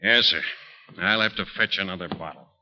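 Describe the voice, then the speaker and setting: An actor playing a polite, slightly hesitant innkeeper in a 1940s radio drama. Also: male voice, low-pitched, brisk, pushed and bright. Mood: polite concern. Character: plain-spoken, deferential male innkeeper. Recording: dull old 1940s radio broadcast.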